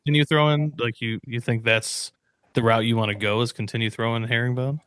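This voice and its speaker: A man's voice: medium pitch, fairly even intonation, brisk, fairly bright tone, clean tone, dry recording. A man co-hosting a podcast, speaking in a casual, chatty way. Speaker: podcast co-host. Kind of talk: casual chat.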